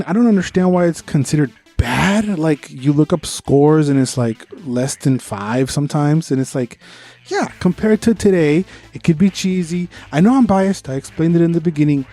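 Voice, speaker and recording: A man's voice: fairly high pitch, moderate pace, warm tone, soft background music. A man co-hosting a podcast, giving an informal, opinionated movie review in casual conversation and swearing freely.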